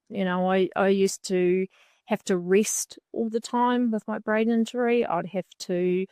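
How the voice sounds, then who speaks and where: A middle-aged woman with a New Zealand accent gives a heartfelt personal testimonial. She speaks at a medium pitch and takes her time, pausing between thoughts. The recording is dry and close. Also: no echo. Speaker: client giving a testimonial.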